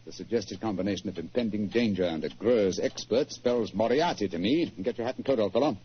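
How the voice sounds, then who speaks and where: An actor playing a brilliant, self-assured British detective with precise, theatrical diction in a 1940s radio drama. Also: male voice, on the low side, a little fast, slightly rough. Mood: incisive, eager. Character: brilliant, self-assured English master detective, amused and incisive. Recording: muffled, noisy 1940s radio recording.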